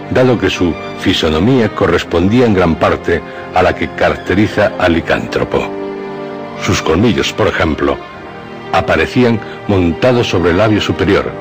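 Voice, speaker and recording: A man with a Castilian Spanish accent narrates a scripted radio horror tale in a solemn, ominous, dramatic way. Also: deep voice.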